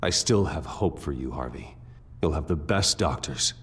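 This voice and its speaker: A man's voice: extremely low pitch, lively intonation, moderate pace, slightly rough, exaggerated voice. A man acting a grave, steadfast wealthy hero in a video game drama, reasoning earnestly. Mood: solemn, steady. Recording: loud music behind the voice.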